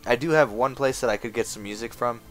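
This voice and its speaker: A man talking casually over his gameplay footage, rambling with lots of fillers, his voice going hoarse. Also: mid-range voice, fast. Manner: rambling, full of uh fillers, chatty. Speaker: gaming video maker. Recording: game music playing underneath. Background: game music.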